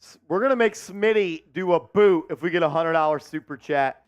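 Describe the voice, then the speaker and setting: A man hosting a live sports watch-party stream, chatting with viewers, reading the chat and calling plays excitedly. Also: a little high, normal speed, clear, resonant tone, highs cut off.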